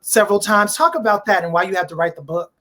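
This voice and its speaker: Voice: medium pitch, lively intonation, fast, bright, forceful tone. A moderator speaking conversationally while leading a panel discussion.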